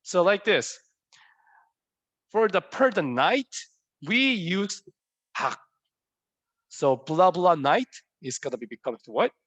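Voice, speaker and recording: A man's voice: sits high, expressive, slow, long pauses, a bit bright, dry recording. A young man from Korea with a Korean accent, a native Korean speaker teaching a language lesson in English, playful and quizzing his viewers with repeated questions.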